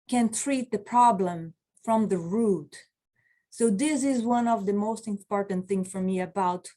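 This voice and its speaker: A woman's voice: medium pitch, darkish tone. A woman in her forties, not a native English speaker, teaching an online class as a medicine practitioner, earnest and encouraging, speaking over a webcam from a small office. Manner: slow, earnest, encouraging, reads out chat replies. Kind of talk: online continuing-education lecture. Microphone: video call webcam.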